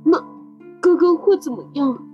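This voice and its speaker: A woman's voice: high-pitched, unhurried, a little mellow, highs cut off, faint music behind. A woman voicing an earnest, determined young boy who worries for his older brother, in amateur audio drama style. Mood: anxious, defiant.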